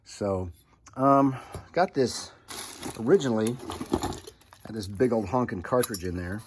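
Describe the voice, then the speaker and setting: A man's voice: fairly low pitch, a little mellow. A man talking informally through a hands-on restoration project, folksy and thinking aloud as he goes. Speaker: hobbyist restorer presenting own project. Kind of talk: casual hands-on restoration walkthrough.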